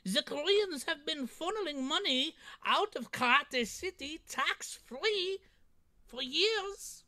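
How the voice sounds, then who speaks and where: A woman playing a smug, taunting villain in a theatrical, drawn-out way at a comedy table read. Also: high-pitched, lively intonation, bright, forceful tone, exaggerated voice. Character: smug taunting villain, an old rival of a cop. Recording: video call.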